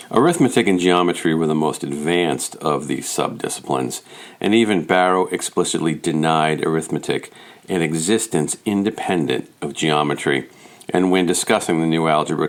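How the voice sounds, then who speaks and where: A man with a low voice reads a nonfiction text aloud. He delivers it as scripted audiobook narration, pitched well below most men. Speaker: audiobook reader.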